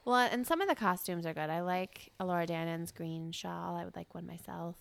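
A woman's voice: lowish voice, brisk, vocal fry, dry recording. A woman co-hosting a podcast in easygoing casual chat, with lots of fillers. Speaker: podcast co-host.

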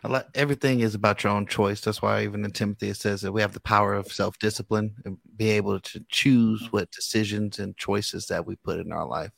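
A man's voice: on the low side, fairly even intonation, a little fast, gravelly, hardly any pauses, a bit bright, no echo. A man with an American accent hosting a radio interview in an informal, encouraging, slangy way. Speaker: gospel radio host. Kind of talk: casual interview chat.

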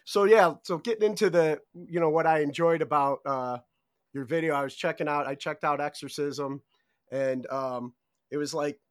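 A man's voice: on the high side, normal speed, no room sound, clean studio sound. A middle-aged man hosting a podcast, asking loose, rambling questions full of "you know" in a casual interview. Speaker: podcast host.

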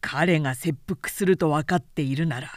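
A woman's voice: deep voice, moderate pace, no room sound, clean studio sound, voice pushed down, natural voice. A woman voicing an agitated male samurai colleague in an audiobook, questioning in an urgent, blunt yet formal manner. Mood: challenging. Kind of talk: literary audiobook character reading.

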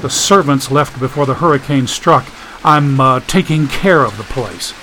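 A man's voice: mid-range voice, normal speed, bright, forceful tone, slight background noise, close to an everyday voice, rough recording. A man voicing a guarded, authoritative man in an audiobook, speaking tersely. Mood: guarded, practical. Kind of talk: audiobook character voice.

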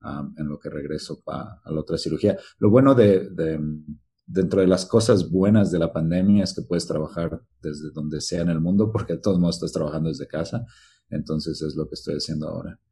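A man answering interview questions, pausing often and searching for words over a call. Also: rumbling low, vocal fry, mellow, husky. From Mexico. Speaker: researcher guest.

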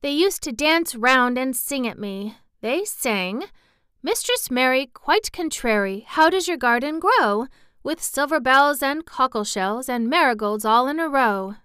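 Woman as an eager, high-voiced little girl. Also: lively intonation, unhurried, pushed and bright, smooth, no echo, clean studio sound, full character voice. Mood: eager, earnest. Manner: pleading, emphatic. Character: eager, anxious, earnest young girl.

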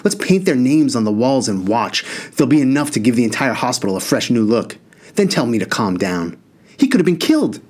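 A man's voice: medium pitch, sing-song, takes it slowly, few pauses. A man voicing an angry young man who is outraged on behalf of his younger brother, speaking in heated, rising outbursts. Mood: furious. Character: furious young man, protective older brother.

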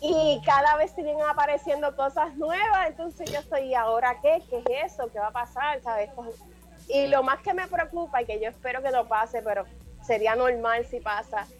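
High-voiced woman chatting. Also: moderate pace. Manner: relaxed, laughs often, many fillers. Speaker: podcast co-host. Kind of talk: casual show review chat.

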